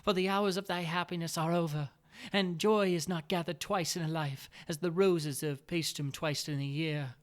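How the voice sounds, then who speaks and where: A woman's voice: lowish voice, fairly even intonation, voice pushed up, lightly acted. A man voicing a dying, prophetic young wife. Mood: solemn prophetic. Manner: intoned, archaic solemn speech, drawn-out phrases. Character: dying mystical young woman, solemn and prophetic.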